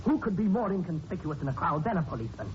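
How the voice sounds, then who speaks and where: A man's voice: a little high, big pitch swings, very fast. An actor playing a shrewd, wary male newspaper reporter, accusing and insistent, in 1940s radio drama style. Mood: accusing, tense. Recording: dull hissy 1940s radio recording.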